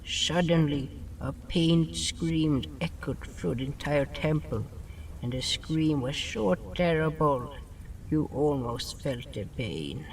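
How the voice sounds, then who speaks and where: A man's voice: a little high, takes it slowly, recorded from a distance, heavy background noise. A man narrating a scripted first-person story in a dramatic manner, often dropping his articles. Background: music.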